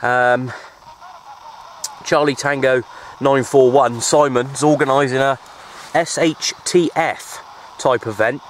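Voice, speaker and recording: A man with a British accent chatting casually and informally to viewers in an unhurried, easygoing way, like an outdoor hobby vlogger. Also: steady tone. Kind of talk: casual outdoor vlog chat. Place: outdoors at a windy makeshift shelter. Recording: noisy outdoor recording with ambient rumble.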